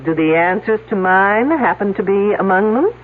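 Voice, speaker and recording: A woman's voice: medium pitch, expressive, normal speed, lightly acted. An actress playing a skeptical, persistent woman detective in a mystery drama, questioning and commenting in a dry, sarcastic manner. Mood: wry, sardonic. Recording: noisy, narrow phone-like old broadcast audio.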